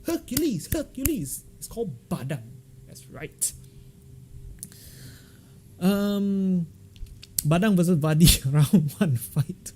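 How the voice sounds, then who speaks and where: A man with a Malaysian accent hosting a casual livestream, chatting and telling stories in an animated, jokey way full of local slang. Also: high voice, slow, pauses often, a little hiss or hum. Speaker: livestream host. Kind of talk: casual live-stream chat and storytelling.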